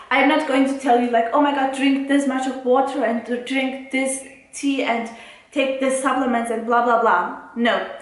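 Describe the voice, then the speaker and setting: Strong room echo, woman, Turkish accent, fairly high pitch, casual motivational vlog, emphatic, blunt. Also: a bit bright. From Turkey. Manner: emphatic, blunt, often seeking agreement with tag questions. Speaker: self-care and productivity vlogger. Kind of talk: casual motivational talk to camera. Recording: very echoey, reverberant room.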